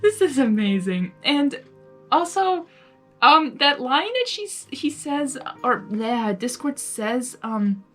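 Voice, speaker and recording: Female amateur story reader: excitable, giggly, high-pitched, casual asides between narration, music bed. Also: slow pace. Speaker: amateur fan fiction reader.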